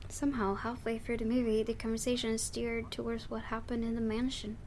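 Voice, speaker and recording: A woman reading a visual novel game aloud in an expressive storytelling style, with her own asides. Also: fairly high pitch, fairly quick, slight background noise.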